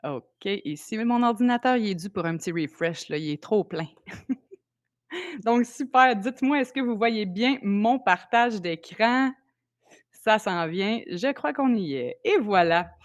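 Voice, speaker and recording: A woman's voice: medium pitch, expressive, a little slow, dry recording. A woman with a Québécois accent, chatty and upbeat, hosting a live video call presentation and greeting people from the chat. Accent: Québécois French. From Quebec, Canada. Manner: chatty and upbeat, reads out chat names, frequent fillers. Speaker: presenter of an online sales meeting. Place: home in Tulum.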